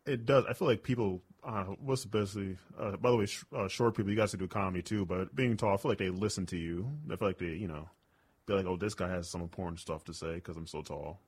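A man's voice: steady tone, quick pace, breathy edge. A man, a stand-up comedian, chatting casually as an interview guest, rambling with lots of "like" and "you know". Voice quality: booming voice.

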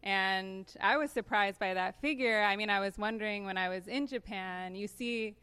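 A woman interviewing a guest in a friendly conversational way, asking questions and adding soft backchannel replies. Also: mid-range voice, moderate pace, clear, resonant tone.

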